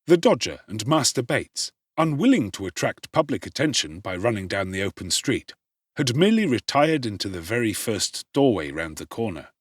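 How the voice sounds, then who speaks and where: Animated male audiobook narrator, husky and close. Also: lowish voice, moderate pace, no echo. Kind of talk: dramatic literary narration.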